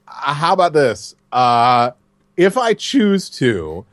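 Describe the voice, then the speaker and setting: A man who works as a voice actor answers interview questions in a chatty, animated way and laughs easily. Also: a little high, takes it slowly, no echo, clean studio sound. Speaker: voice actor, guest.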